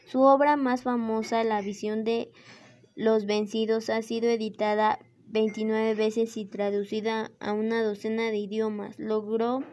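A woman's voice: a little high, a little mellow. A young woman, a university student, reading a school presentation aloud and restarting words she stumbles over. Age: young adult.

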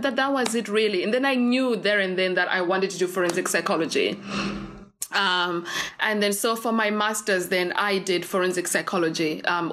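A woman's voice: medium pitch, a bit bright, a little hiss or hum. A woman in her thirties from Zimbabwe, whose first language is not English, telling an interviewer her story in a rambling, filler-heavy way. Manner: rambling, with many fillers and restarts. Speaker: interview guest. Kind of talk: casual conversational storytelling.

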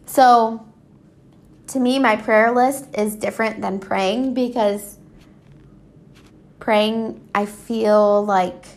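A woman's voice: fairly high pitch, steady tone, very slow, long pauses, darkish tone. A woman chatting casually and unscripted as a solo host, rambling informally, with a stuffy, slightly scratchy voice congested from allergies. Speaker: podcast host. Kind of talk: casual solo chat about personal habits.

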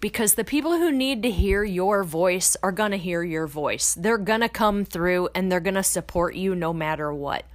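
Straight-talking lady podcaster, kinda low voice, punchy bursts, dry close mic. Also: no room sound. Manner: blunt, swears freely, rising emphatic bursts. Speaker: podcast host. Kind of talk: casual motivational podcast talk.

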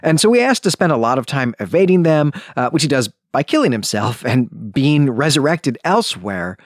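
A man hosting a podcast, telling a story in a conversational, animated way with casual asides. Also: medium pitch, normal speed, no echo, studio quality. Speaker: podcast host. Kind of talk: conversational book summary and commentary.

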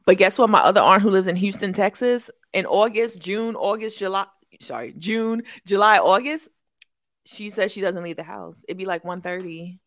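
A woman's voice: medium pitch, normal speed, a bit bright. A young woman co-hosting a casual sports chat, playful and teasing, laughing often. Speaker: co-host.

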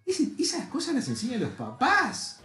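A man's voice: very high voice, huge pitch swings, normal speed, bright, forceful tone, roomy sound, faint music behind. A man, an author and educator speaking earnestly and emphatically as a podcast guest. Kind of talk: casual podcast conversation.

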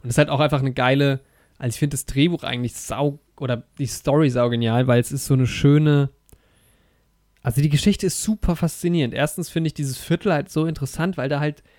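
A man's voice: medium pitch, steady tone, a little fast, darkish tone, no room sound, studio quality. A man chatting casually about a film, enthusiastic and rambling, with many fillers and restarts. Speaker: podcast co-host.

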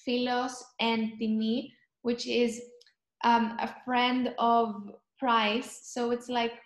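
A young woman from Cyprus, chatting in an interview and often pausing to search for words. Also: fairly high pitch, flat delivery, roomy sound. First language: Greek. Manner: thoughtful, searching for words, frequent ums. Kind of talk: casual chat.

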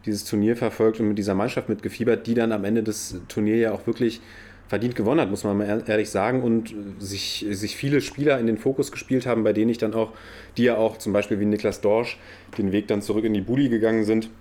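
A man with a low, fairly monotone voice talks football on a podcast. He has a slight cold and rambles casually, circling back on his words as he goes. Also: fast talker. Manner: chatty, rambling with restarts. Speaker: podcast co-host. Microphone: own podcast microphone.

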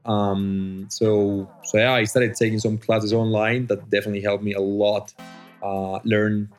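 Low-voiced Colombian man, easygoing. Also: level tone, a little slow, soft background music. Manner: friendly, with fillers and restarts. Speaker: guest. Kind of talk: casual interview answers.

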